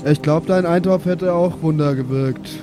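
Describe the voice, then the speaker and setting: A man's voice: a little high, normal speed. A man improvising in character as a tabletop role-playing player, casual and colloquial, with music behind him.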